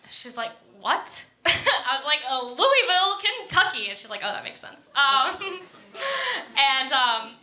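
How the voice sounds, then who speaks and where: A woman's voice: high-pitched, pushed and bright. An American woman doing a self-deprecating stand-up set in a casual storytelling way, often giggling as she goes. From Florida, United States. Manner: giggles often through own delivery. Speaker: stand-up comedian. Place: indoor comedy club stage. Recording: echoey room, live comedy club.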